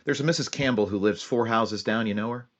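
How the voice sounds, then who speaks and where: An actor playing a terse, businesslike police sergeant who narrates the case in a clipped, matter-of-fact 1940s radio drama style. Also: male voice, lowish voice, quick pace, dry recording, natural voice. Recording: dull lo-fi radio sound with music bed.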